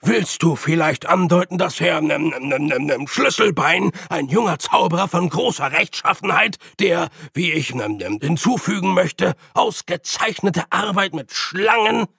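A man voicing a blustering older male archchancellor of wizards, booming and indignant, stumbling over his fillers. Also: animated, unhurried, gravelly, hardly any pauses, bright, forceful tone, slightly rough, no room sound, studio quality, heavily acted.

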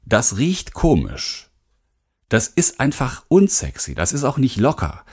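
A man's voice: mid-range voice, wildly swooping pitch, unhurried, a little mellow, slightly rough. A middle-aged man from western Germany narrating his self-help audiobook as a speaking coach, candid and emphatic, talking straight to the listener. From Rhineland, western Germany.

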